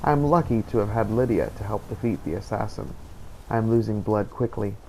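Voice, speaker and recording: A man narrating in character as a weary, wounded adventurer, solemn and halting, in a scripted journal style. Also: on the low side, lively intonation, darkish tone. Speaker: gaming video creator narrating a role-play playthrough. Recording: clearly noisy background ambience.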